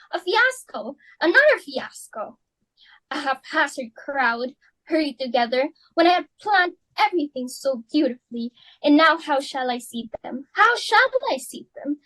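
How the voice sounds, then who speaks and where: A woman reading the part of a fussy, high-strung society hostess in a student dramatic reading, with theatrical exclaiming and melodramatic swings. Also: no room sound, low-quality recording. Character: fussy society hostess, Mrs. Pringle, middle-aged woman. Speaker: student reader.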